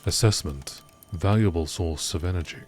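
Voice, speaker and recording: Very deep-voiced male documentary narrator. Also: a little mellow, faint music behind. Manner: measured, factual, reading aloud. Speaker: narrator reading out game lore. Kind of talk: scripted narration, nature documentary style.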